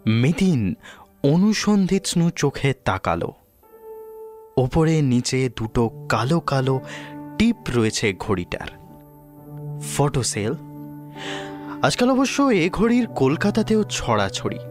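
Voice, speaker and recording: A man's voice: mid-range voice, big pitch swings, slow, pauses often, music in the background. A man reading a detective story as scripted, dramatic narration.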